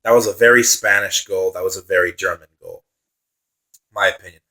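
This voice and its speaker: A young man giving casual football reaction commentary on his own channel, enthusiastic and repeating phrases for emphasis, his voice dry and slightly raspy from talking so long. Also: deep voice, fairly even intonation, a little fast, sharp tone. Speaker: football vlogger.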